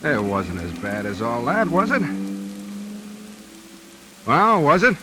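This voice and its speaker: An actor playing a tough American gangster at the wheel in a 1940s radio drama, clipped, wry and commanding. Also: male voice, medium pitch, huge pitch swings, slow pace. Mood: wry, guarded. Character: tough gangster getaway driver, commanding but measured. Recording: noisy 1940s radio recording, rain behind. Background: rain and vehicle sounds.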